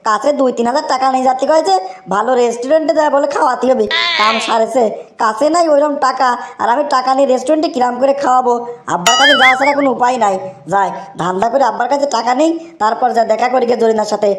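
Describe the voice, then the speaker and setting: Cartoon schemer, broke young guy with a Bogura accent, voiced by a woman; high and rapid. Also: background noise, lightly acted. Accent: Bogura dialect of Bangla. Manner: fast, whiny and wheedling, comic rants. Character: scheming broke young man, boyfriend and son.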